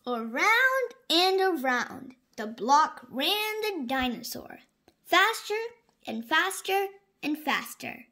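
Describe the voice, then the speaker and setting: A woman reading a picture book aloud to young children in an animated, emphatic way. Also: high-pitched. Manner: slow, animated, with exaggerated emphasis for small children. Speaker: storybook reader for young children. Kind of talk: expressive read-aloud narration of a picture book.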